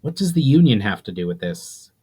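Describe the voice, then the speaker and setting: A man's voice: on the low side, sing-song, fairly quick, a little mellow, breathy edge. A man voicing an earnest male adventurer in a storybook reading, asking formal questions with urgency. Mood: insistent, puzzled. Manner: urgent, inquisitive, formal speech.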